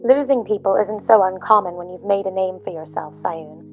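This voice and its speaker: A woman's voice: medium pitch, moderate pace, muffled recording, faint music behind, lightly acted. A woman playing a blunt, wary, worldly companion in an audio drama.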